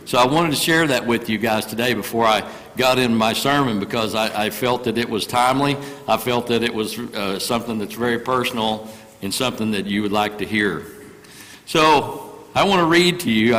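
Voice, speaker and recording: An older man with a drawl preaches from the pulpit in an earnest, homespun way, with a slightly low voice. His words carry through the speakers and linger in the long reverb of the church sanctuary. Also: a bit bright. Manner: earnest, folksy storytelling with repeated phrases. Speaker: guest preacher. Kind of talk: sermon. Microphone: microphone through speakers.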